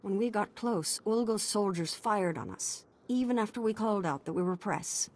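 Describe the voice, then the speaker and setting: An actress playing an indignant, determined news reporter in a video game. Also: female voice, mid-range voice, animated, normal speed, a little mellow, lightly acted. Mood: indignant, urgent.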